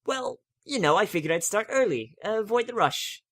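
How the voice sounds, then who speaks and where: A man's voice: sits high, animated, moderate pace, a bit bright, no echo, studio quality, voice pushed up. Man as a smug, flippant young unicorn mare.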